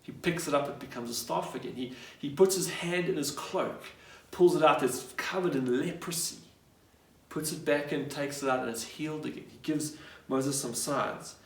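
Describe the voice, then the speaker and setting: Guy preaching a sermon, kinda high voice, chatty storytelling style, really echoey room. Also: moderate pace, gravelly. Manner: conversational retelling, acting out dialogue and posing rhetorical questions. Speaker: pastor. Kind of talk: sermon, retelling a Bible story. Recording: echoey room, distant microphone.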